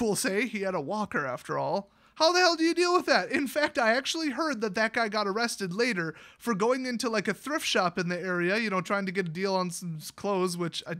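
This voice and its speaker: A man's voice: sits high, a bit bright. An American man telling stories in a casual, ranting style with a sarcastic, exasperated manner. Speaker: YouTube storyteller and gamer.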